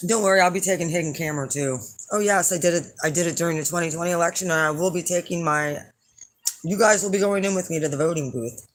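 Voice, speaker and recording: A hoarse middle-aged woman chatting casually to her viewers as an astrology reader, rambling and blunt with lots of fillers. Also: lowish voice, fast. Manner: rambling and blunt, with frequent fillers and some swearing. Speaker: astrology reader and channel host.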